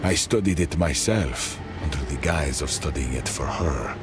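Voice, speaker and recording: An actor playing a weary, haunted inventor in a fantasy game, speaking in a grave, measured way. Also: male voice, extremely low pitch, music in the background. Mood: weary, bitter. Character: weary, haunted older male inventor serving a cruel queen. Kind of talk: scripted fantasy game dialogue.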